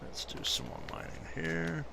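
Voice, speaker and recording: A man's voice: fairly high pitch, wildly swooping pitch, moderate pace, creaky, heavy background noise. A man in his fifties casually chatting through gameplay commentary, rambling and correcting himself as he goes. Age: 50s. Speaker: gaming YouTuber.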